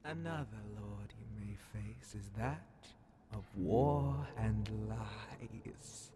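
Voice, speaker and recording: A man voicing a scheming demon Grand Vizier in a whispered ASMR fantasy roleplay, sly, menacing and condescending, with breathy, theatrical, drawn-out phrasing. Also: background noise. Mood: sly storytelling. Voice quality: breathy whispering mixed with low gravelly creak.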